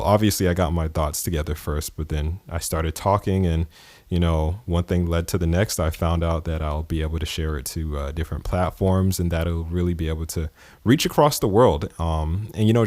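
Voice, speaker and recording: A man's voice: low voice, a little mellow, no echo. A man from the East Coast of the United States hosting his podcast, talking in a loose, conversational way with fillers and chuckles. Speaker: podcast host. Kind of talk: casual podcast monologue.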